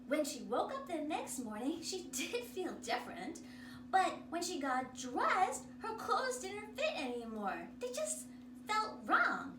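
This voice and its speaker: A woman with a high voice reads a picture book aloud like a drama teacher, expressive and unhurried. She is heard a little away from the mic in a roomy space. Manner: theatrical, expressive. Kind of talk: animated children's storytelling. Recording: roomy, distant mic, noisy background.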